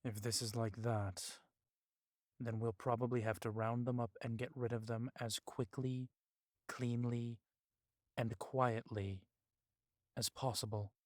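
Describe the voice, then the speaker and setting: An actor playing a stern, cautious vampire police chief in an audio drama, speaking gravely with some Spanish words mixed in. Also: male voice, mid-range voice, little pitch movement, measured pace, no echo, clean studio sound, lightly acted.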